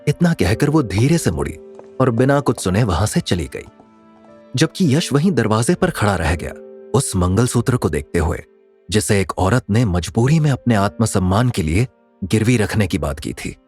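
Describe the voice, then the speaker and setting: A man's voice: lowish voice, big pitch swings, moderate pace, darkish tone, soft background music. A man narrating a scripted audio drama in a dramatic storytelling style.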